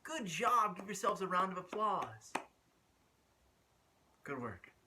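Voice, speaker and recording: Guy doing kids storytime, higher voice, really up and down, asks questions, gets whispery. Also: sing-song, background noise. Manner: drawn-out, asks the listeners questions, drops to whispers. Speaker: children's storytime host. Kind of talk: animated picture-book read-aloud for young children.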